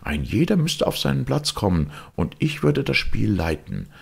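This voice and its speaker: A man's voice: on the low side, sing-song, normal speed, creaky, husky, pitched above the performer's own voice, heavily acted. A man voicing a vain market basket in a fairy tale, bossy, indignant and self-important.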